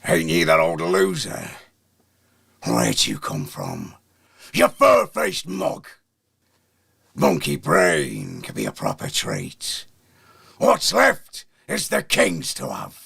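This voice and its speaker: Gravelly, taunting hungry game monster. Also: male voice, mid-range voice, huge pitch swings, slow, pauses often, a bit bright, heavily acted. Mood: gleeful, taunting. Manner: taunting, archaic rustic phrasing. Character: mocking, greedy monster who eats travellers. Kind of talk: video game character acting. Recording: music behind the voice.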